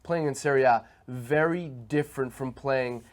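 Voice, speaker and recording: A man's voice: mid-range voice, normal speed. A man co-hosting a sports talk show, giving analytical, stat-heavy takes in a casual tone.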